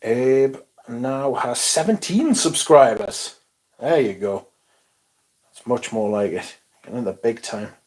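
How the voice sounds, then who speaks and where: A young man hosting a casual livestream, reading chat comments aloud and chatting in a loose, relaxed way with casual swearing. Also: mid-range voice, takes it slowly. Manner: laid-back, swears casually, mumbles asides, reads chat aloud. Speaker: livestream host.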